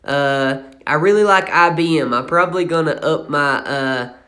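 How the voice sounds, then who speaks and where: A young man in his twenties with an Appalachian accent talks casually over a screen recording of his investments. His voice sits a little higher than most men's, and he rambles with lots of fillers and restarts. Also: normal speed, pushed and bright. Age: 20s. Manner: rambling and casual, many fillers and self-corrections. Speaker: channel host.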